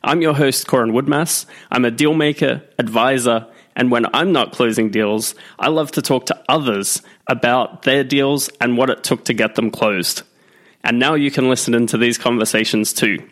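A man hosting a business podcast, reading a scripted intro and then chatting with a guest in a brisk, friendly way. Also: mid-range voice, a bit bright, dry recording. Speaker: podcast host and deal maker.

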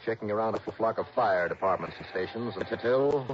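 Low-voiced hard-boiled radio investigator played by a man. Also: brisk, a little hiss or hum, natural voice. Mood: dry narration. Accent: American. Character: hard-boiled, dryly confident male insurance investigator narrating his case. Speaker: lead radio actor. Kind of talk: old-time radio detective drama, first-person narration. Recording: dull, lo-fi 1960s radio broadcast.

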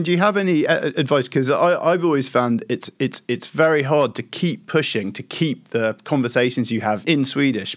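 A man's voice: medium pitch, no room sound, studio quality. A man on a podcast panel speaking thoughtfully and hesitantly, often restarting his sentences. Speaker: podcast guest. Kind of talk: casual podcast chat.

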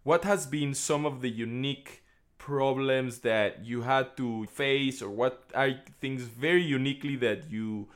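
A man's voice: medium pitch, measured pace. A man hosting a podcast, reflecting and asking questions, often repeating words as he forms them. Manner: thoughtful, repeats words while forming questions. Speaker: podcast host. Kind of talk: interview questions.

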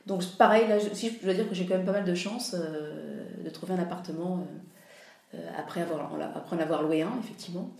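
A woman's voice: fairly low pitch, steady tone, fairly quick, darkish tone. A woman from France talking casually as a podcast guest, hesitating often with fillers and restarts. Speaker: interview guest. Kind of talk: casual interview conversation. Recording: roomy echo.

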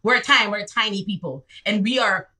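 A Bahamian woman in her twenties, a public defender telling stories in a casual podcast chat, her voice leaping high when she acts out scenes. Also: quick pace. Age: 20s. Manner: lively, swings into high excited re-enactments. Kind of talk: animated storytelling in casual chat.

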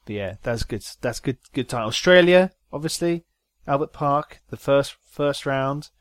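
A man's voice: mid-range voice, lively intonation, measured pace, smooth, no echo, studio quality. A man co-hosting a casual podcast about motor racing, joking and laughing often. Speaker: podcast co-host.

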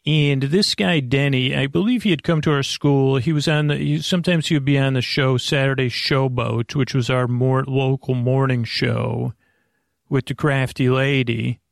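An American man hosting a sleep podcast, talking in a meandering, hesitant, reassuring monologue with a gravelly voice. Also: mid-range voice, steady tone, normal speed, no room sound, studio quality. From United States. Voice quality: creaky, gravelly. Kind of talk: rambling casual monologue.

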